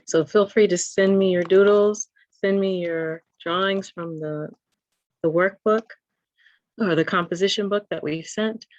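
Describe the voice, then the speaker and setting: A woman's voice: mid-range voice, fairly even intonation, measured pace. A woman moderating an online community meeting as co-host, encouraging and welcoming to those taking part. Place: indoor room, online meeting. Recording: roomy, muffled video-call audio.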